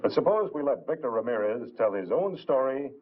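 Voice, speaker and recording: An actor playing a formal male newscaster reading the evening news in a measured 1950s announcer style. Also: mid-range voice, expressive, phone-quality sound, close to an everyday voice. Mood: formal, grave. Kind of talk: 1950s newscast delivery in a film. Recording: band-limited old film soundtrack.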